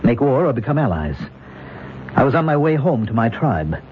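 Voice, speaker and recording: Halting, bewildered scout, acted by a man, muffled radio. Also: mid-range voice, sing-song, close to an everyday voice. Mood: solemn, halting. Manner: halting, solemn, searching for words. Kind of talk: old-time radio drama acting. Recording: muffled, hissy old radio recording.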